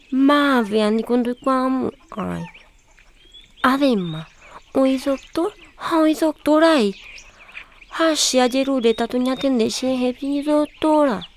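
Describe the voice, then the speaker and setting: A young village woman, played by an actress in a radio drama, speaking slowly and softly with a raised, pleading voice. Her lines come over a faint hiss of background noise. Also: sits high, exaggerated voice.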